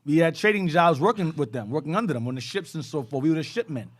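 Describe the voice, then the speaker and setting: A man's voice: on the high side, expressive, fast talker. A man teaching a bible class, explaining between readings and prompting the reader to go on.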